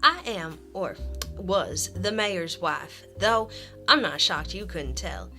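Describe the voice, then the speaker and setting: A woman's voice: lowish voice, animated, bright, forceful tone, soft background music, exaggerated voice. A woman playing a haughty, self-satisfied mayor's widow in a radio drama, drawing out her words. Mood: smug, cool. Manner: haughty, drawn-out.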